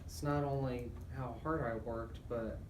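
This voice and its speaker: A man's voice: mid-range voice, steady tone, measured pace. Middle-aged man in a noisy, roomy recording. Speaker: radio host and estate planning lawyer. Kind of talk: conversational radio talk. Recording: roomy, distant mic, loud background noise.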